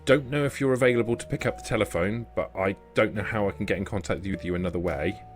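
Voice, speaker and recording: A man's voice: on the low side, fast talker, soft background music, close to an everyday voice. A man voicing a refined, courteous older vampire woman in a tabletop game. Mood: discreet, cautious. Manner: polite, genteel, addresses others as darling.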